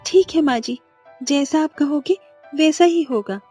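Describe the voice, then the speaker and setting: A woman voicing a meek, obedient young bride who answers softly. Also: high voice, measured pace, soft background music. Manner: soft, submissive.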